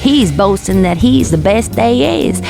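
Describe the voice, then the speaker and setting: A performer voicing a hip, gossipy raven in a comic radio drama, with a slangy drawl and a creaky tone. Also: medium pitch, expressive, unhurried, over music. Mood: excited gossip.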